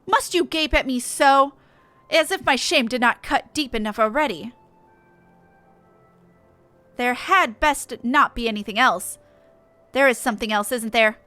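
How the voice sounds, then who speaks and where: A woman plays a proud, indignant fantasy-game warrior who declaims in archaic, formal speech. The voice is pitched high and pushed out forcefully, with faint music behind it. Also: unhurried, pauses often, dry recording. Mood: wounded pride.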